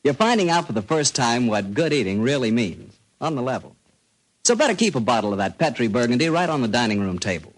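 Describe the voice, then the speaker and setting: Lively American 1940s radio announcer man. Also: medium pitch, fast, poor audio. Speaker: radio announcer and sponsor pitchman. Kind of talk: 1940s radio announcing, folksy sales pitch.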